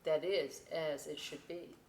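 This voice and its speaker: A woman voicing a steady, watchful female lighthouse in a storybook, motherly, reassuring and cautioning. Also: low-pitched, unhurried. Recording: roomy echo, clear background noise.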